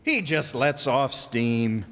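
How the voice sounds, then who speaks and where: A man's voice: medium pitch, lively intonation, slow, a bit bright. A man voicing a harried, put-upon salesman husband who grumbles and wisecracks in a live radio play. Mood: grumbling. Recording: live stage with hall reverb.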